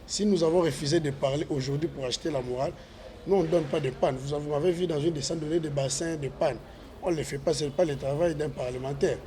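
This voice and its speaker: A man's voice: a little high, fast talker, dark tone, a little hiss or hum. A man, a parliamentary candidate answering interview questions with earnest, repetitive appeals to voters. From Congo.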